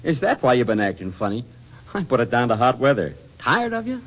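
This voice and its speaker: An actor playing an affectionate, teasing, excitable husband in a 1940s radio comedy, with a folksy manner. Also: male voice, mid-range voice, fairly quick. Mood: teasing, fond. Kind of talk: 1940s radio drama acting. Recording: dull vintage radio drama recording.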